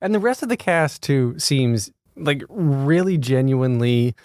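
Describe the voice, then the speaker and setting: An enthusiastic male podcast host chats casually with a guest and often repeats words mid-thought. His pitch swings in a very animated way. The sound is dry and close, with little room reflection. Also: measured pace, no room sound. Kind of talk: casual interview chat.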